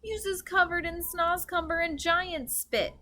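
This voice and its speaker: A woman voicing a gentle, dignified male storybook giant who speaks earnestly in muddled grammar. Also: very high-pitched, steady tone, unhurried, pitched above the performer's own voice, exaggerated voice. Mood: concerned, earnest. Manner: earnest, innocent, garbled grammar.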